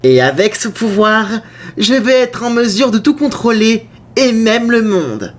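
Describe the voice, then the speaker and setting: A man's voice: high-pitched, big pitch swings, unhurried. A man playing a scheming, grandiose mad scientist bent on world conquest in a cartoon fan dub, pompous and menacing. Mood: gloating, menacing.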